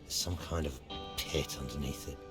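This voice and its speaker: A gruff, extremely low-voiced bounty hunter for a game cutscene. He talks in curt, deadpan lines, hardened and unimpressed, with music behind him. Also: normal speed. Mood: grim, reporting. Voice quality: gruff, very deep. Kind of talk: video game cutscene acting.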